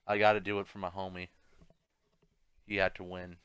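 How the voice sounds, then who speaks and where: A man hosting a gaming video with casual, rambling commentary, repeating himself and swinging between muttering and shouting. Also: low-pitched, level tone, moderate pace, clean tone, no room sound.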